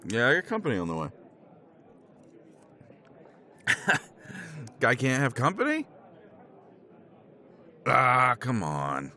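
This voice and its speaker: A man's voice: mid-range voice, huge pitch swings, unhurried, pushed and bright, heavily acted. A man playing a tipsy middle-aged professor in a romance roleplay, rambling with chuckles and casual swearing. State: tipsy from scotch. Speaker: roleplay character.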